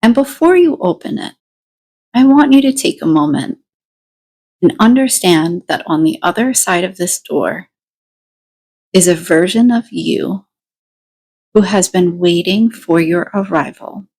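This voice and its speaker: A woman leading a guided meditation, giving deliberate instructions in short phrases with pauses between them. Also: on the low side, lively intonation, a little mellow.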